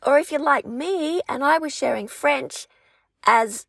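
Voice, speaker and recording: A woman's voice: high-pitched, a little slow, vocal fry, no echo. An Australian woman whose first language is English, giving an upbeat, reassuring talk that coaches people who want to run language sessions for children. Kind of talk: encouraging talk to aspiring tutors.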